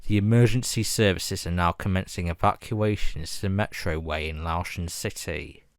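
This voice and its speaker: A man reading a scripted emergency broadcast announcement in a formal, measured and grave manner. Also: sits low, no room sound, clean studio sound.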